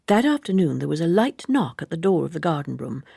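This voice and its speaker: Gravelly, low-voiced woman narrating. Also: big pitch swings, fairly quick, dark tone, dry recording, studio quality. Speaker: audiobook narrator. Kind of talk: literary scripted narration.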